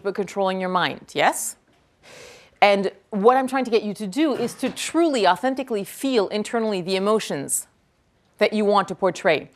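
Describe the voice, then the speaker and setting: A woman whose first language is French, speaking at a university lecture hall, energetically repeating and answering questions from the audience. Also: mid-range voice. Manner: energetic, quick to praise, repeats each question aloud.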